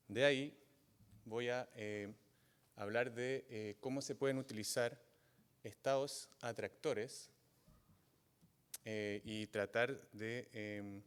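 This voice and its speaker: Low-voiced guy giving a hesitant lecture, lots of uh's, echoey hall. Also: smooth. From not from Chile. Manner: halting, many fillers and restarts. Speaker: guest lecturer. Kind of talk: academic lecture. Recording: long reverb of a lecture hall.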